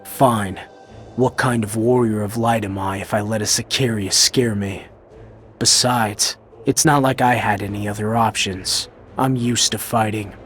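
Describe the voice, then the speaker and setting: A man's voice: lowish voice, fairly even intonation, a little slow, a bit bright, faint music behind. A man playing a cocky young warrior hero in a video game, talking in a blunt, casual, dryly defiant way. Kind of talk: video game cutscene acting.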